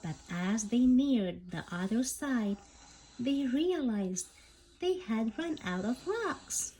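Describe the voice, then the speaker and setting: A woman reading a storybook aloud as scripted narration, in an expressive, animated way. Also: on the high side, slow, low-quality recording. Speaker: bedtime story reader.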